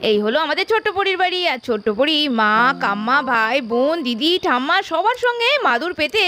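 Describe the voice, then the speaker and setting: A woman's voice: high-pitched, big pitch swings, a little fast, noisy background. A woman narrating a doll story for children as its storyteller.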